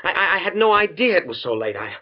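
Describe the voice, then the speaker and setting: A man's voice: high voice, very animated, fast. A man playing an earnest, absent-minded professor in his thirties, in 1950s radio drama style. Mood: flustered, apologetic. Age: 30s. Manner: hesitant, stammering, preoccupied. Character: earnest absent-minded young male professor, flustered and enthusiastic. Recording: dull, muffled old radio recording.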